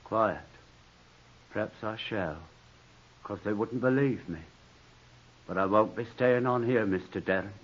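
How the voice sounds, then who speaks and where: An actor playing a deferential, morally outraged manservant, earnest and pleading, in a 1940s radio drama. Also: male voice, fairly low pitch, unhurried, pauses often. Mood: quiet indignation. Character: deferential but morally outraged male servant. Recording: dull old 1940s radio broadcast.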